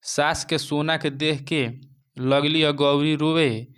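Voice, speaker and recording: A man's voice: on the high side, level tone, slow pace, fairly bright tone, clean tone. Male narrator for a moral tale, Bhojpuri accent, clean resonant mid-range voice. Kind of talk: scripted moral-story narration.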